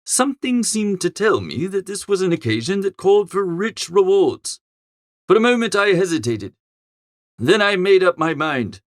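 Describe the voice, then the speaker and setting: A man's voice: high voice, big pitch swings, normal speed, no room sound, clean studio sound. A man narrating a comic first-person story as an audiobook reader.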